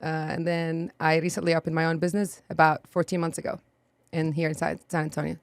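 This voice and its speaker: Low-voiced woman from Iraq, chatty guest. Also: flat delivery, fast talker, no room sound. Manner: chatty, frequent "you know" and "um". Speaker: guest, sign business owner. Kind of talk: casual interview. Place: podcast studio.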